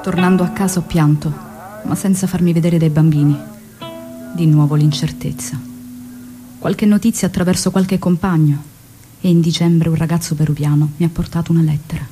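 Tender, grieving widow of a revolutionary, played by a woman: low voice, intimate theatrical monologue, music underneath. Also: fairly even intonation, moderate pace, close to an everyday voice. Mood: sorrowful. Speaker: stage actress. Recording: music behind the voice.

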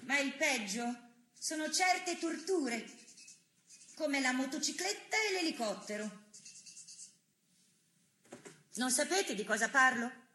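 An actress playing a worn but principled imprisoned woman dissident, deliberate and solemn, in stylized stage theatre. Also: sits high, animated, pushed and bright, a little hiss or hum, full character voice. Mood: weary, sober.

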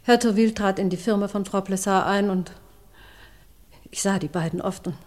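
A woman playing a distressed forty-year-old secretary confessing as a witness in a radio crime play, halting and faltering. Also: mid-range voice, steady tone, darkish tone, natural voice. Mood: remorseful, resolute. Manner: halting, faltering, repeating herself. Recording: long reverberant courtroom hall.

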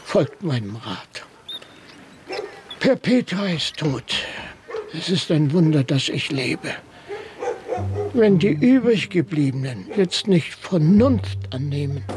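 An older man acting a fearful, fretful old village priest in a radio play, anxious, pleading and halting. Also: fairly high pitch, exaggerated voice.

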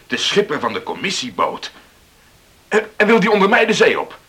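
A very sharp, forceful skipper in a radio play. He is gruff and stubborn, with a blunt delivery that swings widely in pitch. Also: wildly swooping pitch, moderate pace, full character voice. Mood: surprised, gruff. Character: gruff, stubborn lifeboat skipper, grudgingly good-hearted. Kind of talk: Dutch radio drama acting.

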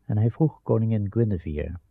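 A man as a solemn, measured storyteller narrating a legend in a radio drama. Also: on the low side, vocal fry, dry recording. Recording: dull old radio recording. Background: plucked guitar-like music.

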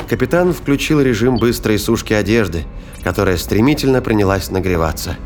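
A man's voice: fairly low pitch, slight background noise. A man giving scripted, dramatic narration of a science fiction story as its narrator. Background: music.

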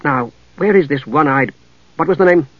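A man voicing a young mage, quiet and bitter, with a cool, restrained delivery in a fantasy audiobook. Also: a little high, animated, moderate pace, no room sound, narrow, tinny audio. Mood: practical.

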